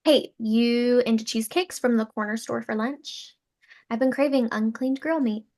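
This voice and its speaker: A woman's voice: on the high side, fairly even intonation, moderate pace, darkish tone, natural voice. A woman playing an eager, slightly awkward young teacher in a sitcom table read, chatty and hesitant. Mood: chatty, wry. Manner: chatty, hesitant, people-pleasing. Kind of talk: sitcom table read, mockumentary talking heads.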